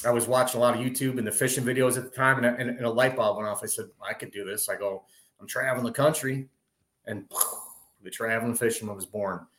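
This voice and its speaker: A man from Wisconsin, a podcast guest, telling his travel story in a relaxed, rambling way, full of "man" and "you know". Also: mid-range voice, fast talker, a little hiss or hum. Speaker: podcast guest, traveling fishing content creator. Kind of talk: casual storytelling chat. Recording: roomy echo.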